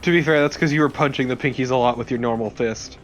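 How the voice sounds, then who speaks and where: A man's voice: medium pitch, quick pace, slight background noise. Sarcastic man teasing over gameplay. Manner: teasing, sarcastic, swears casually. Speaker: let's play co-commentator. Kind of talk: casual banter over gameplay.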